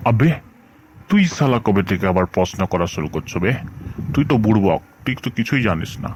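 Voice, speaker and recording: A man voicing a gruff older village schoolmaster in a comedy cartoon, bossy, insulting and exasperated with his pupils. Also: fairly low pitch, animated, fairly quick, a little mellow, a little hiss or hum, close to an everyday voice.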